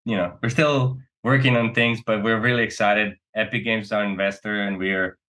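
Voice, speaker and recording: A man's voice: on the low side, flat delivery, moderate pace, pushed and bright. A man from Serbia talking casually and earnestly as a company co-founder being interviewed, often checking in with "right?".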